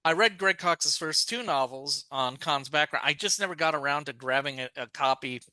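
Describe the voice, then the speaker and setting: A man's voice: on the high side, normal speed, bright, forceful tone, no echo. A man co-hosting a podcast, chatting casually and rambling through thoughts with many restarts and asides. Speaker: podcast co-host. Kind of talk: casual discussion.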